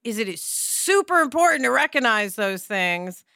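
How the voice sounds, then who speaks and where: A woman with a fairly high, very bright voice co-hosts a podcast in an informal, emphatic way. She is recorded close and dry, with faint music behind her. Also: sing-song, moderate pace, vocal fry, dry recording. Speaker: podcast co-host. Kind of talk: scripted intros and casual conversational talk.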